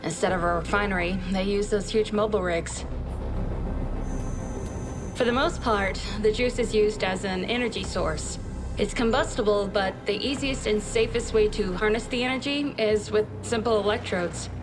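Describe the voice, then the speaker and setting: A brisk research doctor in a video game, played by a woman, with a medium-high female voice. She explains things precisely and dryly, all business. Music is clearly audible behind her. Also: natural voice. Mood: matter-of-fact. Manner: matter-of-fact, precise, explanatory. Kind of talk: video game cutscene briefing.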